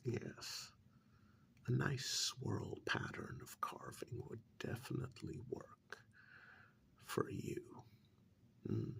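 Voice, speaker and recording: A man playing a calm, menacing killer in a soft-spoken ASMR horror role-play, hushed and deliberate, with a condescending, musing manner. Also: mid-range voice, full character voice. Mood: pleased, appraising. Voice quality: breathy half-whisper with gravelly creak. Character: calm, menacing male killer who preserves victims as art.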